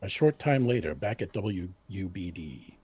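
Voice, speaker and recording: A man works as narrator and announcer of a radio serial. His voice is slightly rough and animated, recorded dry. The delivery is scripted and theatrical. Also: sits low, normal speed, no echo.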